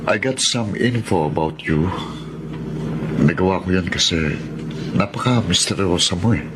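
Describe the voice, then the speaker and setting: A man with a Filipino accent acting a powerful, smitten governor with a gentle manner in a Tagalog radio drama. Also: low-pitched, measured pace. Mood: gentle, reassuring. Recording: very noisy radio drama audio.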